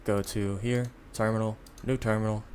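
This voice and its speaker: A man giving a casual, unscripted software tutorial in an informal, halting, step-by-step way, with background noise. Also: fairly low pitch, level tone. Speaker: tutorial presenter.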